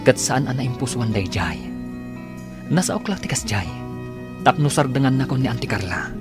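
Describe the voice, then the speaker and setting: A man's voice: mid-range voice, expressive, normal speed, close to an everyday voice. Casting: actor as devoted young male lover, earnest, mid-range voice, radio drama with music. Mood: earnest, tender. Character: earnest young suitor, Frank, devoted and determined. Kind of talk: Filipino radio drama acting. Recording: music bed under the dialogue.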